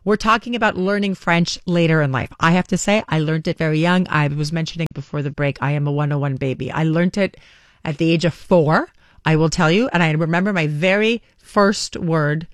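Radio host, Greek native speaker, chatty, energetic, studio, dry sound. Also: mid-range voice, a little fast, no echo. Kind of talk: live radio interview.

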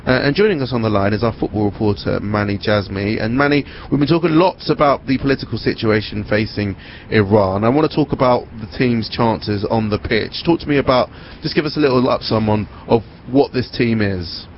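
A man's voice: medium pitch, a little fast, highs cut off, a little hiss or hum. A man presenting sports news in a broadcast style, introducing reports and guests.

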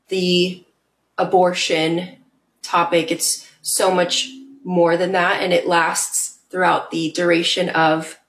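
A woman guest on a podcast speaks earnestly and reflectively, with occasional fillers. Her voice sits a little low, and the room around her sounds echoey. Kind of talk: casual interview chat.

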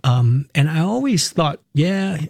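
A middle-aged man, a Cantonese speaker, reflecting on personal experience in a halting, candid way as an interview guest. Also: fairly high pitch, very animated, gravelly, darkish tone, no echo. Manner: halting, candid, tags phrases with "right" and "you know". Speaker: interview guest, performer and counselor.

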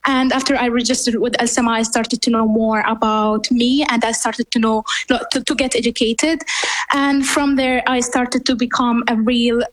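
A young woman of eighteen from Syria speaks as an interview guest. She is a native Arabic speaker with a fairly high voice. She gives a personal account of her life. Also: level tone, moderate pace, low-quality recording. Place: remote line from Beirut.

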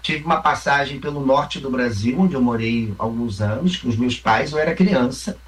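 A man with a Carioca Brazilian accent speaks with a reflective manner. He explains his ideas patiently and backs them with examples. His pitch and energy sit in an ordinary middle range. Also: moderate pace, recorded from a distance, low-quality recording. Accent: Carioca Brazilian Portuguese. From Rio Grande do Sul, Brazil. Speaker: theatre company member, mime actor. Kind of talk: interview answers.

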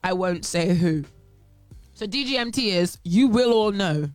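A woman's voice: on the low side, expressive, measured pace, no echo. A woman with a Bahamian accent co-hosting a show, chatting casually and telling stories with playful drama. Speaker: co-host. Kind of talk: casual chat. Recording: faint music behind.

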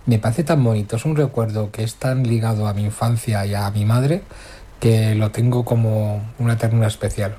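A man's voice: on the low side, steady tone, moderate pace, dark tone. A man from Madrid leaving a recorded message for a radio show, fondly recalling a childhood memory. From Madrid, Spain. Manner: tender, reminiscing. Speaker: caller.

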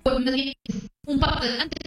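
A woman presenting sports news in a brisk, informative scripted read. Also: sits high, steady tone, soft background music.

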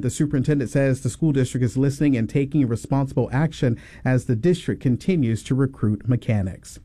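A man reading a scripted local newscast in an even, measured way. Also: medium pitch. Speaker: news anchor.

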